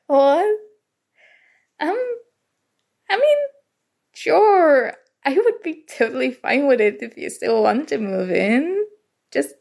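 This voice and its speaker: Actress doing a nerdy college girl in asmr roleplay, slow and halting, flustered, stammery, kinda high voice. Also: vocal fry, darkish tone, exaggerated voice. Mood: nervous, relieved. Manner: hesitant, halting, stammering, emotional. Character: nerdy, flustered young female college student confessing a crush. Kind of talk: intimate ASMR roleplay audio acting.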